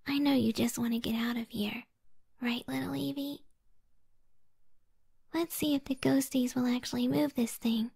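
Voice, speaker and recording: A woman playing a creepy, lonely young occultist who loves ghosts, whispering slowly and coaxingly in an ASMR roleplay. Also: fairly high pitch, steady tone, a little mellow. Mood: softly excited, eerie. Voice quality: breathy, raspy whisper.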